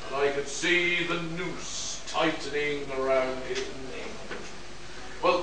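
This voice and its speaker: A man playing a cocky space detective in a pulp radio play, laying out his case in a theatrical, expository way. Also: a little high. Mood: smug triumphant. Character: cocky male space detective explaining a murder. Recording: echoey hall, distant mic, faint noise.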